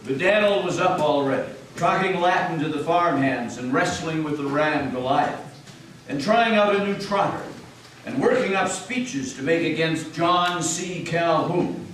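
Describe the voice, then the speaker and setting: A man's voice: a little high, unhurried, fairly bright tone. A man narrating a tale aloud in a dramatic stage reading. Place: public meeting house, indoors. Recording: very echoey hall, distant mic, faint noise.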